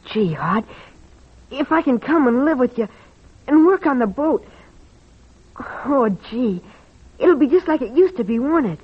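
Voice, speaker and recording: Earnest young boy, high and swooping. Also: big pitch swings, measured pace, pauses often, breathy edge, exaggerated voice. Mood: eager, hopeful. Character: earnest young boy, ashamed reform-school runaway, hopeful. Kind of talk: 1950s radio drama acting. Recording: muffled old radio recording.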